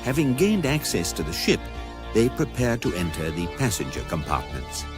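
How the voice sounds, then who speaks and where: An actor playing the dry, erudite narrator of a science-fiction radio comedy, reading wordy script in a deadpan manner. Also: male voice, on the low side, normal speed, over music. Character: dry, erudite male narrator of absurd space guide.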